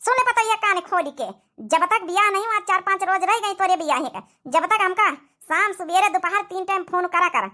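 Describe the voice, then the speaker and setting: A woman's voice: very high-pitched, normal speed, hardly any pauses, bright, forceful tone. A woman playing a strict, scolding village mother-in-law in a cartoon comedy, with an Awadhi accent and a nagging, domineering manner. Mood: stern, scolding.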